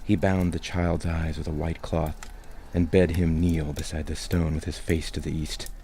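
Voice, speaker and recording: A man narrating a scripted story for an audio drama with measured, dramatic delivery. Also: extremely low pitch, flat delivery, slight background noise. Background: loud music.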